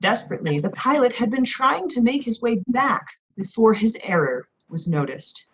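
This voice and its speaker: A woman reading a novel aloud as expressive scripted narration. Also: fairly high pitch, normal speed, breathy edge, rough recording. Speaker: audiobook narrator.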